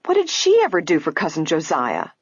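A woman's voice: sits low, wildly swooping pitch, fairly quick, slightly rough, no room sound, muffled recording, exaggerated voice. A woman voicing a spoiled, contemptuous young society daughter in a story reading, tart and snide. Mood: tart, scornful.

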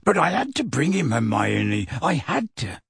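A man voicing a huge, kindly half-giant for an audiobook, emotional and pleading, with halting, rustic speech. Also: medium pitch, sing-song, vocal fry, a bit bright, husky, dry recording, clean studio sound, heavily acted. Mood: desperate, tearful. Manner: earnest, halting, rustic dialect grammar. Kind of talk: audiobook character voice.

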